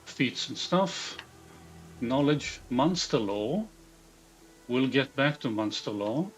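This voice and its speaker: A man's voice: medium pitch, expressive, slow, soft background music. Israeli-accented man mumbling through game prep. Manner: mutters half-sentences to himself while building a character. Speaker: streamer. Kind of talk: live gaming stream, reading chat aloud. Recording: dull lo-fi stream audio.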